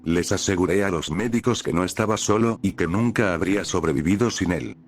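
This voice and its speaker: A man reading a scripted horror story as the channel's narrator, with a steady, measured delivery. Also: low-pitched, soft background music.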